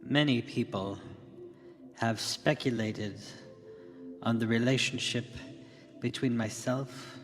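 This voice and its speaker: Slow, echoing keynote speech by a male actor. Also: medium pitch, natural voice. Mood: solemn, earnest. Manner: measured, deliberate, pausing between phrases. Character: earnest tech company leader giving a solemn keynote. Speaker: keynote speaker. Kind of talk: radio drama keynote speech. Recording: long hall reverb with music behind. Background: music.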